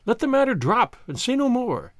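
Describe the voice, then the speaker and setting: A man voicing an anxious young gentlewoman who pleads earnestly in polite period English for an audiobook. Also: extremely high pitch, big pitch swings, moderate pace, dry recording, clean studio sound, voice pushed up, full character voice. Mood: pleading.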